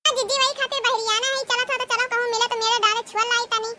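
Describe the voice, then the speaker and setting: A gossipy young village sister-in-law for a cartoon, piercingly high and very bright. She chatters and exclaims in puzzlement with an Awadhi accent, over faint background noise. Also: fairly even intonation, fast. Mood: eager. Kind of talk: cartoon comedy dialogue.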